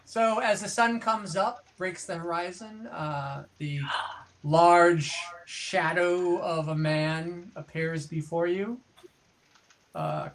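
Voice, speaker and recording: A game master improvising narration for an online tabletop game in a conversational, halting way. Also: medium pitch. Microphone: online group call.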